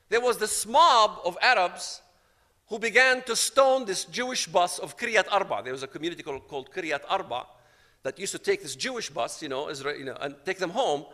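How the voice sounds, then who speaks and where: A man's voice: high-pitched, pushed and bright, in a large hall. A man from Palestine giving a personal testimony, telling his story dramatically and acting out the dialogue. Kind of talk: storytelling talk to an audience.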